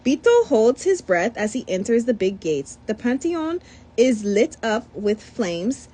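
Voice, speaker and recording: A woman reading a children's picture book aloud, animated, sometimes tripping over words and adding her own comments. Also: fairly high pitch, unhurried, slightly rough. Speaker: storybook reader.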